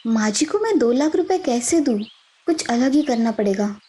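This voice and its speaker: A woman voicing a determined, respectful young village daughter-in-law in an animated story, earnest and polite but firm. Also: a little high, normal speed, slightly rough. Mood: thoughtful. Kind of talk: Hindi animated moral story dubbing.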